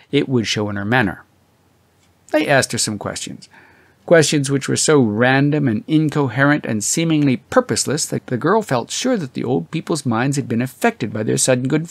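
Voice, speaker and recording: Male audiobook narrator, mid-pitched, measured and dramatic literary delivery, very dry recording. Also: creaky, no echo.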